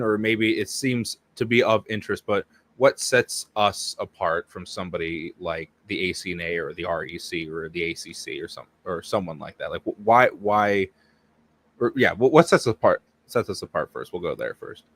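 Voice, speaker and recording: Deep-ish guy hosting a chat, asks questions, keeps restarting mid sentence. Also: a bit bright. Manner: halting, rephrasing his question. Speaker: host. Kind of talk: casual interview talk.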